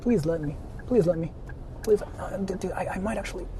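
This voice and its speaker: A man's voice: sits high, brisk, background noise. A man streaming live while he drives, talking to his chat and singing along to songs between remarks. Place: in a car.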